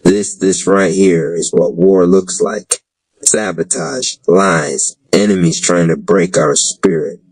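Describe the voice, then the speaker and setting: A man's voice: low-pitched, fairly even intonation, takes it slowly, darkish tone, no room sound, rough recording. A man voicing a commanding, defiant rap leader who rallies his followers in an audio story. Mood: rallying, defiant. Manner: commanding, rousing, full of conviction.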